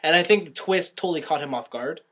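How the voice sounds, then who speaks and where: A man's voice: a little high, fast, fairly bright tone. A man hosting a casual movie review podcast, giving opinions and often rewording himself as he goes. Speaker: podcast host.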